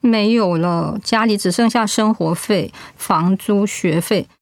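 A woman's voice: mid-range voice, a little slow, no room sound, natural voice. A woman acting a proud, stubborn middle-aged wife and mother in a radio drama, blunt and sardonic. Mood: exasperated.

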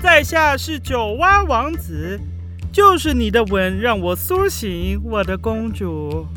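Casting: vain frog prince villain, Taiwanese Mandarin, theatrical, slow high delivery over prominent music. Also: male voice, expressive. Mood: grandiose. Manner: theatrical, grandiose. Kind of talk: children's radio drama acting.

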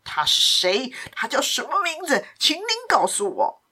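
A woman's voice: sits high, big pitch swings, normal speed, bright, forceful tone, slightly rough, exaggerated voice. A woman voicing a wounded young army captain in an audio story, asking urgent, anguished questions. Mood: desperate.

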